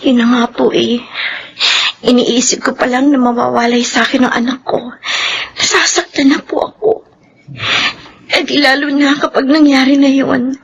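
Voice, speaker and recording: A woman's voice: high voice, flat delivery, moderate pace, creaky, long pauses, muffled recording. An actress playing a meek, anguished young mother in a radio drama. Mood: sorrowful, hesitant. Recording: faintly noisy radio drama track.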